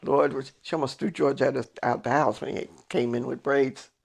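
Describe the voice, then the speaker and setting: An American woman in her eighties telling family stories in a casual, animated way, emphatically acting out remembered dialogue. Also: a bass voice, moderate pace, vocal fry, slightly rough. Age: 80s. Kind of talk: casual personal storytelling.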